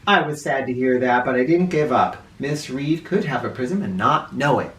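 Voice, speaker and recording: A man hosting a children's read-aloud, narrating a storybook in an animated style. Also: mid-range voice, normal speed. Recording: echoey room, distant mic.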